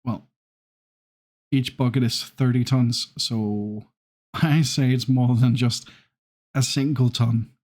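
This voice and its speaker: A man's voice: mid-range voice, fairly even intonation, darkish tone. A man in his thirties from Scandinavia, with a Scandinavian accent with traces of Irish, giving casual, hesitant commentary over his gameplay. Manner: hesitant, many ums and restarts, unhurried. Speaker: gaming YouTuber.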